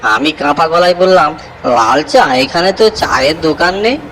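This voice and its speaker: A man's voice: a little high, animated, normal speed, a bit bright, a little hiss or hum. A man playing a frightened village fisherman who recounts a ghostly night in a suspenseful horror audio story. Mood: fearful, suspenseful.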